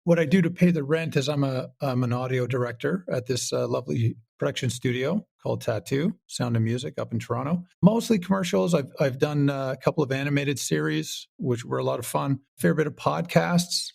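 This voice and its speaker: A man's voice: mid-range voice, normal speed, gravelly, hardly any pauses, darkish tone. A man chatting casually as an interview guest, talkative, rambling and self-deprecating. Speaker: podcast guest, writer and audio director.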